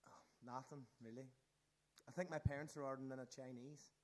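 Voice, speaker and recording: A man's voice: medium pitch, fairly even intonation, fairly quick, natural voice. A man playing a guarded, sardonic teenage emo punk in a radio play, with a dry, defensive delivery. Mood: shy, downcast.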